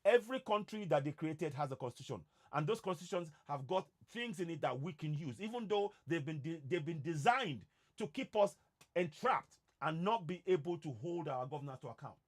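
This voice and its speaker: A man's voice: a little high, animated, fairly quick. A man from Nigeria whose first language is Yoruba, giving an impassioned monologue to his viewers and stressing points by repeating words. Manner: emphatic, repeats words for emphasis. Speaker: online activist host.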